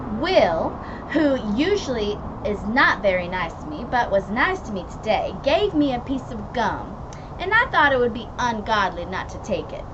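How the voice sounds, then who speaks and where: A bossy little princess girl, put on by a woman reading aloud, bubbling with drama and emphatic pronouncements. The voice jumps high and swings widely. The sound is muffled, with a clear rumble of a vehicle behind. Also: very animated, moderate pace, distant mic. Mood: cheerful.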